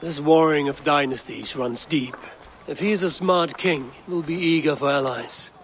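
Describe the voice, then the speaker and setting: A man playing a blunt, gruff Viking warrior in a video game, with a dry, clipped way of talking. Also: fairly high pitch, moderate pace, a bit bright, slight background noise. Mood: probing. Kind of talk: video game cutscene acting.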